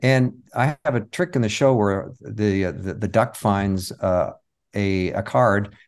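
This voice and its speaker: A man speaking as an interview guest, reflective and halting as he tells stories of his work in conversation. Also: lowish voice. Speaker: interview guest, comic magician and stage show creator.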